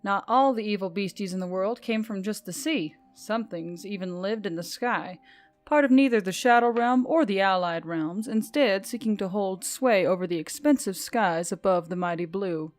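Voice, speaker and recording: A woman's voice: mid-range voice, normal speed. A woman reading scripted fiction as an audiobook narrator. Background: music.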